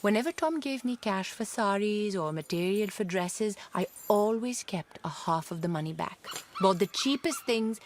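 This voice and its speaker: A woman acts out a young Indian wife in a radio play, girlish and proud. She speaks in an excitable, coquettish way at a medium pitch. Noise is clearly present in the recording. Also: moderate pace, close to an everyday voice. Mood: earnest, confiding. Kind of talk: radio drama acting.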